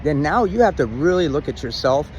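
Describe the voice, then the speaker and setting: A middle-aged man giving relationship advice in a casual, rambling talk to camera, full of restarts and ums, in a loud and dominant voice. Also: fairly high pitch, very animated, brisk, lo-fi sound, a little hiss or hum. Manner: says he has a loud, dominant voice.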